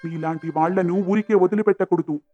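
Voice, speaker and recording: A man's voice: sits high, steady tone, a little fast, muffled recording, soft background music. A man voicing a stern, authoritative village headman in a cartoon moral story, firm and commanding. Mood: stern, angry.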